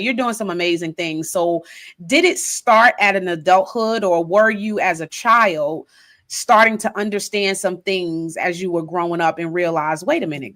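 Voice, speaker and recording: A middle-aged woman hosting a podcast, interviewing a guest in an expressive, chatty way. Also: lowish voice. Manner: expressive, animated storyteller. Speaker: podcast host. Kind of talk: conversational interview.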